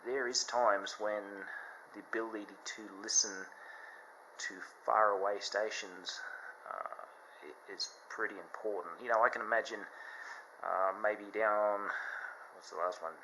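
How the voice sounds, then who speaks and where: A man giving a casual, unscripted product demonstration, explaining things in a halting, step-by-step way. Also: on the low side, fairly even intonation, pushed and bright, a little hiss or hum, rough recording. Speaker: product reviewer.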